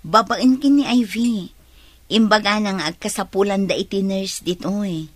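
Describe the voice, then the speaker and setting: A woman's voice: mid-range voice, normal speed, lightly acted. A woman playing a playful, teasing young nurse in an Ilocano radio drama, exclaiming expressively with an Ilocano accent. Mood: surprised.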